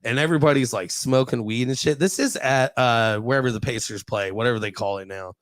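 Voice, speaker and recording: A man's voice: mid-range voice, brisk, fairly bright tone, no room sound. American man swapping stories, close dry sound. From Ohio, United States. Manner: profane, slangy. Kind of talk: casual chat, storytelling.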